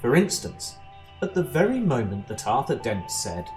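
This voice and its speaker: A man playing a measured, deadpan narrator of absurd galactic tales in an amateur audio drama. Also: mid-range voice, lightly acted. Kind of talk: scripted comic sci-fi narration. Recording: music behind the voice.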